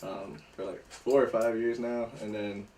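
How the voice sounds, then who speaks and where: A man's voice: lowish voice, fairly even intonation, a little slow. Man, twenties, podcast guest, casual and giggly, roomy echo, faint noise. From born in Nigeria, raised in Northern Virginia. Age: 20s. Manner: laid-back, laughs often, loose filler words. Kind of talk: casual chat. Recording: echoey room, mic at a distance, faint noise.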